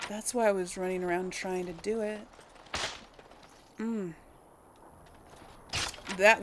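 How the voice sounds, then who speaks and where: Creaky-voiced woman gamer thinking aloud. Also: medium pitch, background noise. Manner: hesitant, thinking aloud as she plays. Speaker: host of a gaming let's play. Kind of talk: casual let's play commentary to viewers.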